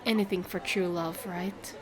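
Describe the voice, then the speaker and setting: A woman's voice: fairly low pitch, fairly even intonation, moderate pace, crowd noise, lightly acted. A woman playing a smug, haggling female merchant with glib, theatrical sales patter in a fantasy audio drama. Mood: smug, wheedling. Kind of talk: scripted fantasy audio roleplay.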